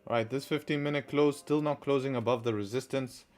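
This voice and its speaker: A man's voice: medium pitch, steady tone, a little fast, a little mellow, faint music behind. A man hosting a live trading stream, talking through charts and answering chat with repeated rhetorical questions, with a mixed, partly Arabic-sounding accent. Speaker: trading livestream host.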